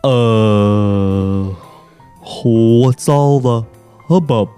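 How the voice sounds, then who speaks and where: A man playing a slow drawling cartoon turtle. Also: medium pitch, warm tone, clear, resonant tone, soft background music, voice pushed down. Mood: dopey. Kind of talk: comedic script table read.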